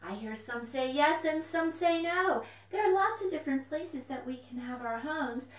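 A woman presents storytime to little children. Her voice is high with very animated intonation, and she encourages listeners with simple questions. She sounds some way from the mic in an echoey room. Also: moderate pace, distant mic. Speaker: children's librarian, storytime host. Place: indoors at home.

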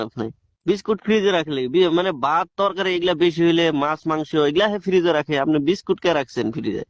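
A man acts as a male shopkeeper with a rural eastern Bengali accent, talking fast in a dull, muffled recording. He explains the same thing over and over, exasperated yet patient, in a comic phone skit. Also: sits high, no echo. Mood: baffled, exasperated. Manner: explanatory, repetitive, hesitant restarts.

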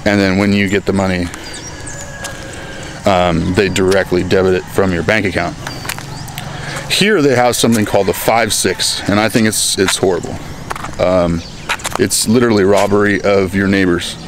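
A man's voice: deep voice, fairly even intonation, moderate pace, pauses often. An American man in his thirties talking to a handheld camera in a town plaza, rambling and joking in a casual vlog. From United States. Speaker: vlogger. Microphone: handheld camera at arm's length. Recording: outdoor recording with clear street noise.

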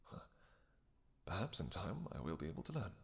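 A man playing a deep, gravelly vampire king. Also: sing-song, brisk, exaggerated voice. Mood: thoughtful. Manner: smooth, flirtatious, dryly amused. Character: suave male vampire king, teasing and protective. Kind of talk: audio roleplay acting. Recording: long reverb with music behind.

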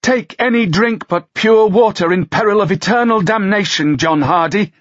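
Shrill, ranting young female prophet, put on by a man reader; high and loud. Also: moderate pace, no echo, lo-fi sound, close to an everyday voice. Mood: ranting, fervent. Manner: shrill, wailing, strident. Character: shrill young woman religious prophet, fervent.

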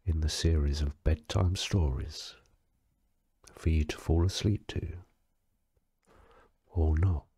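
A tipsy man reading a bedtime story in a deadpan, sweary way, stumbling now and then. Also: very deep voice, slightly rough, no echo, clean studio sound. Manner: slow, sweary, deadpan, stumbling over words. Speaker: story reader. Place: alone in a quiet, dry-sounding space.

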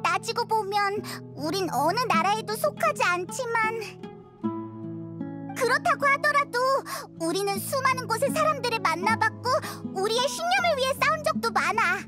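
A woman's voice: very high-pitched, expressive, normal speed, over loud music. A woman voicing a chirpy, easily startled childlike girl companion in a Korean game, exclaiming and stammering when flustered. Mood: earnest. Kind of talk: Korean game dialogue voice acting.

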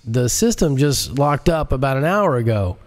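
A timid, deferential agency director in an audiobook thriller, voiced by a male narrator. He explains himself to a superior in a raised pitch. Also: sing-song, normal speed, lightly acted. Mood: timid, defensive. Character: timid agency director answering his boss.

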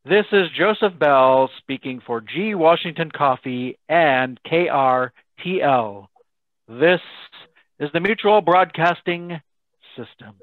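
Man as a higher-voiced vintage radio announcer. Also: expressive, dry recording. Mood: polished, promotional. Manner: formal, measured salesmanship. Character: polished male 1930s radio announcer pitching coffee. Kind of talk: old-time radio announcing. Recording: thin, phone-like video-call audio.

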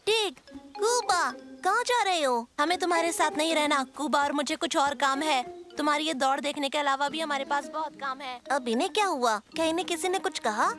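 A woman's voice: high-pitched, a little fast. A woman voicing a proud, touchy young boy tiger cub who boasts and sulks in a cartoon dub. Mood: boastful. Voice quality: boastful, excitable. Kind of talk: dubbed cartoon voice acting. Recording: studio voice with music behind.

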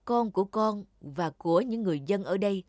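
A woman's voice: medium pitch, lively intonation, a little fast, darkish tone, no echo, clean studio sound, lightly acted. A woman voicing a solemn celestial fairy who speaks in formal declarations.

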